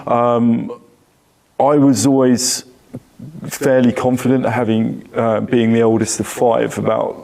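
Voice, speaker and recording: A man's voice: mid-range voice, level tone, takes it slowly, gravelly, dark tone, rough recording. A man, chatting casually about his own experience in a group. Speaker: panel participant. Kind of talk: casual personal chat.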